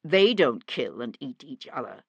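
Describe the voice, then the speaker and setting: A woman voicing a young gentleman investigator in an audiobook, sardonic and hard-edged, with a clipped manner. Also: extremely low pitch, sing-song, measured pace, creaky, breathy edge, dry recording, pitched below the performer's own voice, exaggerated voice. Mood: cold anger.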